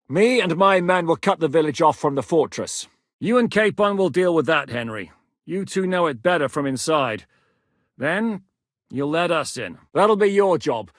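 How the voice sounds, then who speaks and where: A man's voice: a little high, no room sound, studio quality, close to an everyday voice. An actor playing a blunt, battle-hardened male rebel commander in a game, giving orders in a commanding way. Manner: blunt, commanding, plain-spoken. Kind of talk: game voice lines.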